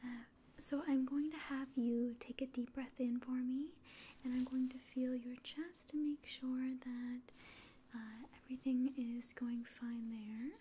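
A woman in her twenties doing a whispered ASMR roleplay in a soft, breathy, gentle and reassuring voice, close to the mic. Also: high-pitched, level tone, unhurried, slight background noise. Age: 20s. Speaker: ASMR performer playing an alien medical examiner. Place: small indoor room.

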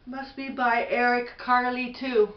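Middle aged mom reading a bedtime story real slow, bit far from the mic, home video. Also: female voice, fairly high pitch, little pitch movement. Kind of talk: slow storybook read-aloud. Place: small bedroom at home, indoors. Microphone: camera a few feet away. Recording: dull, echoey, distant camera mic.

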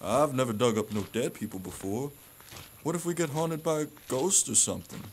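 A man's voice: medium pitch, a little hiss or hum, natural voice. A man playing a dim, good-hearted, timid crook's sidekick in an audio drama, with a hesitant, folksy manner. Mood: hesitant, naive. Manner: slow-witted, hesitant, folksy. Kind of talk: audio theater acting.

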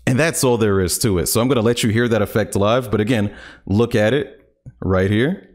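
A man in his thirties hosting a casual, upbeat streaming tutorial, joking as he explains, recorded at home on a studio mic on a boom arm. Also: moderate pace. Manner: upbeat, playful, joking asides. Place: home streaming room, indoors. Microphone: large studio condenser on boom arm.

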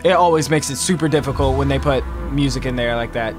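A man in his twenties giving an excitable, slangy live reaction as a video host, recorded indoors at home. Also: mid-range voice, fast, over music. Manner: animated, exclamatory, slangy. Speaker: YouTube host reacting to a game trailer. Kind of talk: casual live reaction vlog. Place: small furnished room at home, indoors.

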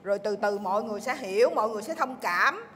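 A woman's voice: mid-range voice, expressive, fast, a bit bright, long reverb tail, lightly acted. An actress playing a friend who coaxes and soothes a crying woman. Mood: soothing. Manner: coaxing, soothing.

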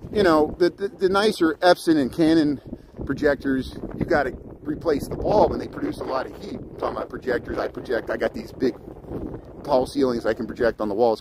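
Man, Midwestern American accent, mid-pitched voice, casual chatty livestreamer, halting delivery, walking outside, light ambient noise. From Indiana, United States. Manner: halting, chatty, jumping between subjects. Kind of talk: casual rambling walk-along livestream chat. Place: outdoors, walking in a city park. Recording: noisy outdoor ambience with traffic.

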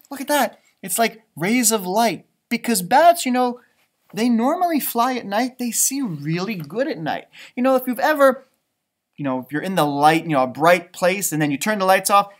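American male storyteller, thirties, swooping intonation, chatty children's read-aloud. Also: sits high. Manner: animated, expressive, wondering. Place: indoors, a library with bookshelves.

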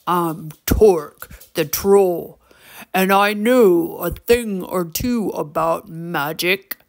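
Woman voicing a deep, gravelly, mischievous troll. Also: very slow, heavily acted. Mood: teasing, boastful. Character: mischievous gruff old male forest troll.

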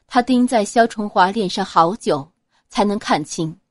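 A woman narrating a serialized novel as an audiobook, reading in a dramatic, expressive manner. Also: mid-range voice, measured pace, no echo, clean studio sound.

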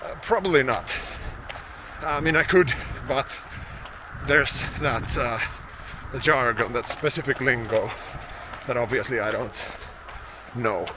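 A man's voice: medium pitch, takes it slowly. A man in his thirties with a Finnish accent, talking casually to camera while out on a run on a snowy roadside, thinking aloud with many fillers and restarts. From Finland. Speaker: vlogger. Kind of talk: casual vlog while out running. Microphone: small wired mic, camera held close. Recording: outdoor wind and traffic noise.

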